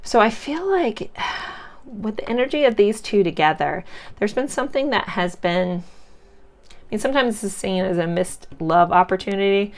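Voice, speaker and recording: A woman's voice: medium pitch, expressive, moderate pace. Chatty woman with a congested, cracking voice. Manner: chatty and reassuring, loose with many fillers. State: congested, cracking voice from sinus drainage. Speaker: tarot reader. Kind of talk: casual pick-a-card tarot reading.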